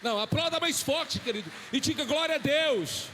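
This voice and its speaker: A Brazilian pastor in his forties, preaching a sermon to his church with impassioned, drawn-out emphatic phrases. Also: extremely high pitch, expressive, bright, forceful tone, a little hiss or hum. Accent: Brazilian Portuguese. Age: 40s. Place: church hall. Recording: long hall reverb.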